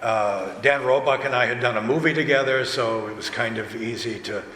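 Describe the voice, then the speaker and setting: Man, animated storyteller, casual panel talk, medium pitch, roomy hall sound with background noise. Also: moderate pace. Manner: animated storyteller, acts out quoted remarks. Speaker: audio drama director and voice actor on a panel. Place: large indoor convention panel room.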